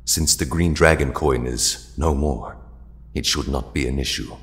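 A man playing an ancient alien mentor in an audio drama, speaking in a grave, measured, formal way. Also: very deep voice. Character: ancient, solemn alien mentor to young heroes. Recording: long cavernous reverb.

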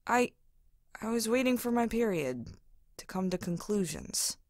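A woman's voice: medium pitch, very animated, unhurried, no echo, clean studio sound. A woman playing an anxious, hesitant young mother-to-be in an audio drama. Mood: uneasy.

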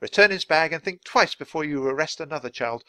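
A man voicing a kindly, indignant English gentleman author in a storybook, with grand, emphatic speech and a theatrical manner. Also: a little high, animated, a little fast, pitched above the performer's own voice.

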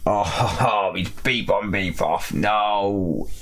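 A young man with a slightly raspy voice from recent illness, chatting casually as he repairs a console in his workshop. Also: lowish voice, very slow, background noise. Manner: chatty, self-deprecating, thinks aloud while working. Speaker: electronics repair YouTuber. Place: cluttered repair workshop, indoors.

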